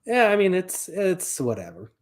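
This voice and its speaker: A man in his twenties co-hosting a casual podcast, giving relaxed, dry opinions. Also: high-pitched, normal speed. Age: 20s. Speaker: podcast co-host.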